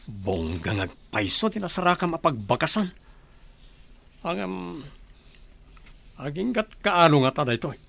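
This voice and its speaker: Animated gossipy friend. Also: male voice, a little high, a little slow, vocal fry, long pauses, full character voice. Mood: admiring, teasing. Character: gossipy, teasing friend of the mistress. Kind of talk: Ilocano radio drama acting.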